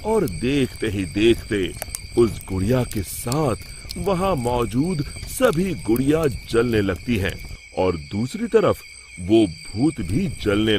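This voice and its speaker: A male storyteller with a mid-pitched, lively voice and an unhurried delivery. He reads a scripted tale over faint cricket-like ambience. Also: slight background noise.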